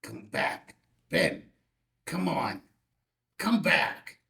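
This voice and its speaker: An older rancher father in a film drama , played by a man at a table read. He speaks slowly and deliberately, with a gravelly creak in a slightly higher male voice. He is gentle and sincere, halting as he goes. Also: wildly swooping pitch, exaggerated voice. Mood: urgent.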